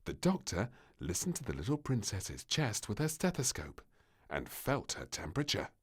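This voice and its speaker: A man reading a scripted children's story as narrator, with an expressive, dramatic delivery. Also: fairly low pitch, moderate pace, a little hiss or hum. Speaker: storybook reader.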